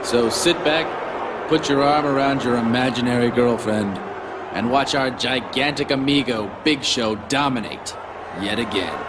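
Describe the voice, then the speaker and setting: Smug, boastful wrestling sidekick played by a male actor, mid-pitched and mocking, video game promo over noisy ambience. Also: normal speed, natural voice. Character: smug male wrestling sidekick hyping his giant friend.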